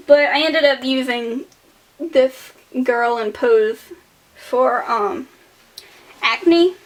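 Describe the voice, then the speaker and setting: A young woman in her late teens speaks in a high voice with a noticeable lisp. She rambles casually through a voice-over about her artwork, offhand and self-deprecating. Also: rough recording. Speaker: artist showing old sketchbooks.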